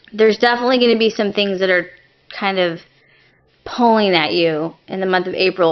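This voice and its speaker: A woman giving an informal tarot reading, offering gentle, reassuring advice as she talks. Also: medium pitch. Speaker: tarot reader. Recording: dull lo-fi sound.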